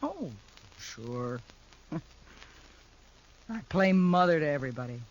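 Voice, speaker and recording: A guilt-ridden frontier man, in a 1950s radio western. He speaks very slowly in a fairly high, rueful voice. The old recording is dull and faintly hissy. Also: big pitch swings, full character voice. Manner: slow, rueful and confessional. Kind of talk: 1950s radio drama acting.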